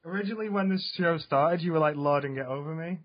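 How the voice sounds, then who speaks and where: A man's voice: fairly high pitch, quick pace, dull audio. A man in his twenties co-hosting a podcast, joking and laughing often in casual chat. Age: 20s. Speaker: podcast co-host.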